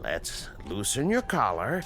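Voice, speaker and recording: Radio drama scientist: educated male, formal and precise, very wide pitch swings, unhurried delivery. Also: wildly swooping pitch, over music. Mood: earnest, excited. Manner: precise, formal, measured diction with dramatic pauses. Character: educated, uneasy male scientist, inventor of a time machine. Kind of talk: radio drama acting, dialogue and dictated log narration.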